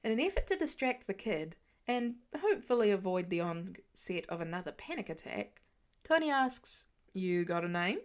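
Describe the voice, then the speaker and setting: Expressive woman reading a story aloud. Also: medium pitch, moderate pace. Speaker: podfic reader. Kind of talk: audiobook fan reading.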